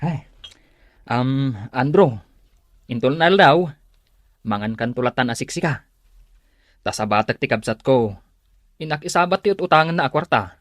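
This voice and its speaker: A man with an Ilocano accent acting a blunt, animated best friend in a radio drama. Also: medium pitch, normal speed, pauses often, no room sound, studio quality, lightly acted. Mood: lively.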